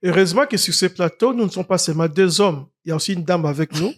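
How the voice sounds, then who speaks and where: A man from Congo-Kinshasa hosts a studio talk show in a notably high voice. He talks dramatically, piling up rhetorical questions and lively emphasis. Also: fast. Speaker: host. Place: studio set.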